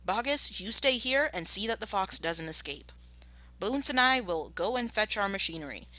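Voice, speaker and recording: A woman's voice: medium pitch, expressive, moderate pace, bright, forceful tone, no room sound, pitched above the performer's own voice. A woman reading a storybook as a thin, grimy, angry farmer man, bossy and menacing with emphatic delivery. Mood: commanding.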